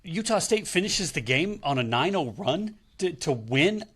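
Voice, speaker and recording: A man's voice: on the high side, big pitch swings, a little slow, fairly bright tone, breathy edge. A man with an American accent giving casual sports analysis as a panelist.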